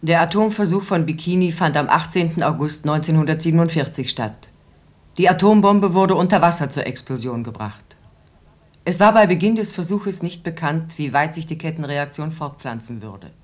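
A cool woman announcer recites facts in a radio drama. Her voice is very low for a woman. She stays clinical and matter-of-fact, reading in formal sentences. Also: animated, moderate pace. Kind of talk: scripted radio play narration.

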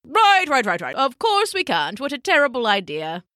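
Storybook old male penguin, played by a woman: pompous yet bumbling, bright and very animated. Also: high voice, measured pace, no room sound, studio quality, exaggerated voice. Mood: sheepish. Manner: alliterative, hesitant, pompous.

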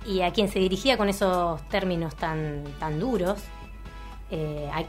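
A woman's voice: fairly low pitch, steady tone, measured pace, soft background music. A woman with an Argentine accent co-hosting a radio show in casual political chat.

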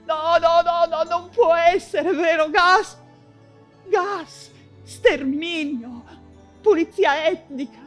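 An actress playing an anguished, ailing woman writer imprisoned in a camp, in a halting, fevered theatrical monologue. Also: very high voice, a bit bright, soft background music, full character voice. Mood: horrified. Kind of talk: dramatic stage monologue in Italian theatre.

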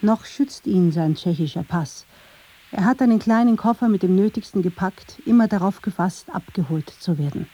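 Woman narrator with a mellow voice. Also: mid-range voice, moderate pace, slightly rough. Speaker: documentary narrator. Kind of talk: scripted radio feature narration.

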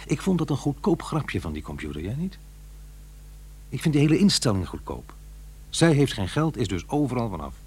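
A brooding, husky divorced father. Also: on the low side, darkish tone, heavily acted. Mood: bitter. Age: middle-aged. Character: aggrieved, brooding middle-aged divorced father. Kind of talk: naturalistic radio play acting.

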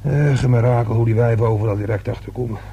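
An actor playing a nosy, grumbling working-class man in a radio drama, talking in a halting, colloquial, self-important way with a husky, gravelly voice. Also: mid-range voice, steady tone, warm tone, highs cut off, slight background noise, natural voice. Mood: boastful, grumbling.

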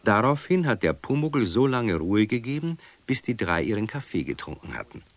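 A man's voice: lowish voice, big pitch swings, normal speed, no echo. A man narrating a children's radio play as a scripted storyteller.

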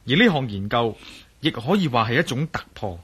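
An actor playing a brisk, assured male adventurer in a radio drama, persuasive and speaking with a Hong Kong Cantonese accent. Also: medium pitch, big pitch swings, a bit bright, breathy edge, exaggerated voice.

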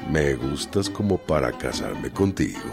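Commanding Mexican revolutionary chief, widely swooping voice. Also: male voice, a bass voice, sing-song, a little slow, creaky, full character voice. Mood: slow, low, musing. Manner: authoritative, colloquial. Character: commanding male Mexican revolutionary chief, gruff but courtly. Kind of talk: radio drama acting. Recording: radio drama with music and crowd behind.

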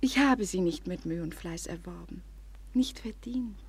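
An actress playing a tender, adoring young woman in love, in a 1940s German radio play, with a soft, fervent delivery that often sinks to a whisper. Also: fairly low pitch, heavily acted. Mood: shy, tender. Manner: slow, fervent, theatrical delivery. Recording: old, husky-sounding 1940s radio recording.

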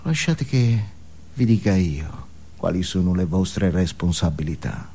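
A man's voice: sits low, animated, unhurried, warm tone, slight background noise, voice pushed down. A man playing a brash, cynical self-help guru in a comic stage monologue, exhorting his listeners emphatically with rhetorical questions. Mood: hushed, earnest. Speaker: stage actor. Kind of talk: theatrical comic monologue as motivational speech.

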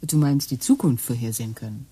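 A woman's voice: very deep voice, brisk, mellow, breathy edge, dry recording, heavily acted. An actress playing Liz, a practical married woman in a German radio play who doubts her partner's ideas. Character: down-to-earth skeptical housewife, married woman.

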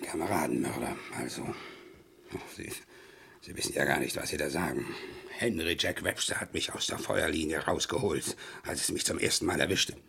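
An actor playing a proud, bitter war veteran who is indignant and defiant, in a theatrical German crime radio play style. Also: male voice, extremely low pitch, moderate pace, vocal fry, pushed and bright, husky, heavily acted. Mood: shaken, bitter. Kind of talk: German crime radio play acting, mid to late 20th century.

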